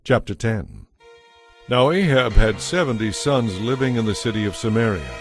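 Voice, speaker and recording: A man's voice: lowish voice, expressive, moderate pace, soft background music. A man giving scripted narration for a dramatized audio Bible.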